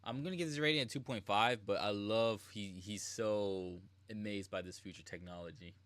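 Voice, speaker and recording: A man's voice: lowish voice, moderate pace, fairly bright tone, no echo. A man giving casual live reaction commentary as a game streamer, slangy and informal.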